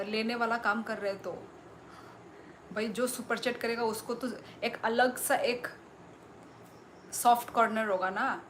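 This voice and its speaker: Casting: young woman with a Northeast Indian accent, unhurried live-stream host, faint room hiss behind her. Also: medium pitch. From Tripura, Northeast India. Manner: chatty, reads out viewer comments and thanks them. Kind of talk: casual live-stream chat.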